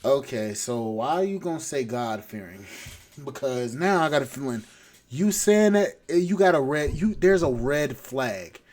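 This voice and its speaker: A man's voice: mid-range voice, animated, a little slow. A man hosting a podcast, reacting to a dating show clip with crude, mocking commentary. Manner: mocking, crude, loud laughing outbursts.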